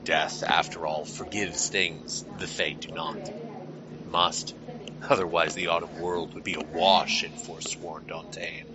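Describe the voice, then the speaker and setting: A man playing a weary, haunted fae lord in a fantasy audio drama, speaking formally and mournfully with halting, measured phrasing. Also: low voice, steady tone, highs cut off, background noise. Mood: solemn regret.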